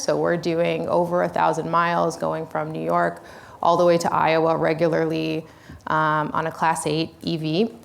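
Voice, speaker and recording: Low, gravelly-voiced woman panelist. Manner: hesitant, with many fillers. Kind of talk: panel presentation.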